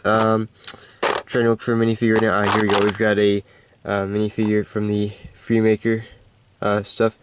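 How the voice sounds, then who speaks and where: A man with a congested voice giving a casual, halting, unscripted show-and-tell of a collection. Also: on the low side, noisy background. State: stuffed-up, congested voice. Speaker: hobby collector hosting a video.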